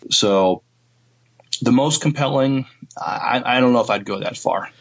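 A man co-hosting a podcast in casual chat, halting and searching for his words. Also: medium pitch, fairly bright tone, slightly rough, rough recording. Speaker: podcast co-host.

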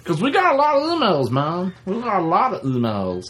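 A man voicing an excitable, easily frustrated comic big dog as a podcast host, rambling and repeating himself in exasperation. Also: high voice, a little fast, slight background noise, full character voice. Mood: upbeat, rambling. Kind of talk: comic podcast banter in character.

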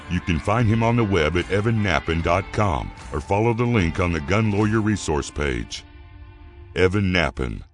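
A man reading a scripted promotional podcast introduction as an announcer. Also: low-pitched. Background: music bed.

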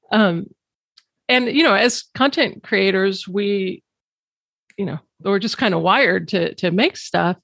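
A woman's voice: mid-range voice, takes it slowly, gravelly, pauses often, fairly bright tone, no echo, clean studio sound. Chatty, laughing woman podcast host. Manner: laughs often while talking, loose and chatty. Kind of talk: casual conversation.

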